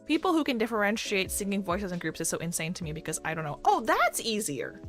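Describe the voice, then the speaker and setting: A young woman who streams and works as a doctor, chatting casually with a fairly deep voice and a rambling, filler-heavy way of talking. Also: very animated, fast talker, faint music behind. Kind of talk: casual chat with live chat readers. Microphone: desk microphone.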